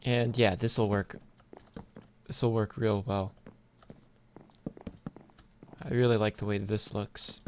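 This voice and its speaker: A man's voice: lowish voice, level tone, measured pace. A man casually commentating on his own gameplay, thinking aloud in an informal way as host. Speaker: host of a gaming video series. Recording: clear background noise, keyboard clicks. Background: computer keyboard and clicking.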